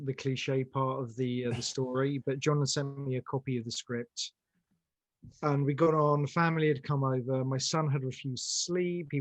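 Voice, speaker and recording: A man's voice: mid-range voice, no room sound. A man telling a casual, conversational anecdote as an interview guest. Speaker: interview guest, audio drama team member.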